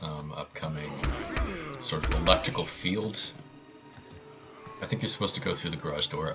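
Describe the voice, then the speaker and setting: A man giving casual live speedrun commentary with offhand, joking asides. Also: very deep voice.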